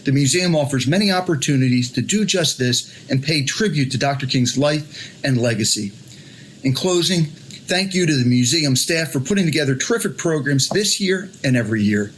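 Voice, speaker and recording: A man's voice: fairly high pitch, lively intonation, normal speed, poor audio. A man delivering a prepared public greeting in the style of an official address. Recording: faint background noise.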